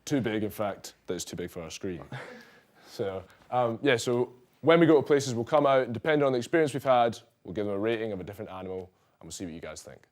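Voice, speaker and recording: A young man reporting and rating places in casual chat with his co-presenter, trailing off quietly at the ends. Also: lowish voice, lively intonation, fast talker. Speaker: student reporter.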